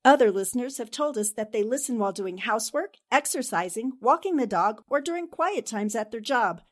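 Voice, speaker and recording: A woman's voice: a little high, animated, a little fast. A woman, a cast member of an audio drama podcast, giving a scripted address to listeners.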